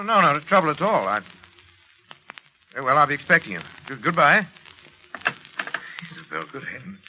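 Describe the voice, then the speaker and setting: An actor playing a grave, controlling psychiatrist and husband in a 1940s radio drama, speaking formally and emphatically. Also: male voice, a little high, pushed and bright, slight background noise, exaggerated voice, rough recording. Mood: flustered, intrigued. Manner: formal, emphatic, halting under strain. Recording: 1940s radio recording, music behind.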